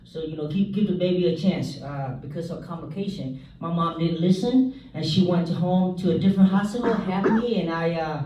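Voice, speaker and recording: A man from South Vietnam, giving a halting church testimony full of fillers and joking asides. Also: fairly high pitch, dark tone, slight background noise. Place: church hall. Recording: echoey hall, mic from far off.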